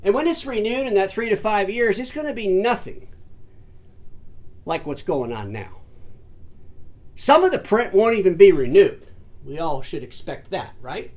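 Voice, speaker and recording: A man delivers solo commentary in a fairly high voice, pressing his points hard and speaking straight to his listeners as folks and ladies and gentlemen. He often stumbles into repeated words and restarts before pushing on. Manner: emphatic, addresses audience as folks and ladies and gentlemen. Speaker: host of an industry commentary show.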